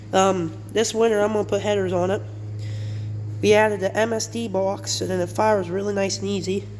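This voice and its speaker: A congested man with a cold hosts a casual, rambling car walkaround and often corrects himself. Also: high-pitched. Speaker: channel host. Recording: long reverb, clear background noise.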